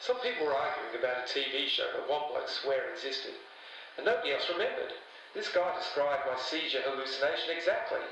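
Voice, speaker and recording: An actor playing an eccentric older webmaster in a podcast drama, matey and rambling in clipped fragments. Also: male voice, mid-range voice, lively intonation, brisk, crowd noise, close to an everyday voice. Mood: earnest, rambling. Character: eccentric older male conspiracy-minded webmaster, rambling and friendly. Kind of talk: audio drama podcast interview. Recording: distant, echoey room with faint noise.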